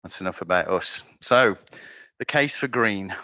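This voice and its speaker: A man giving a conference presentation as a company managing director, loud and joking at his own expense. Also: low voice, lively intonation, a little slow, no room sound, poor audio. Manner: loud, jokey and self-deprecating. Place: conference venue.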